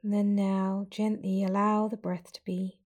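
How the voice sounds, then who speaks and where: A woman guiding a breathing meditation with slow, soft, soothing instructions and long pauses. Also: mid-range voice, little pitch movement. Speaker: meditation guide.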